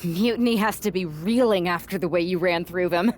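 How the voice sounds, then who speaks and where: A slightly low-voiced team leader played by a woman rallies allies in a wrestling game cutscene. Faint noise sits behind the scripted lines. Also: a little fast, natural voice. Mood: rallying, wry.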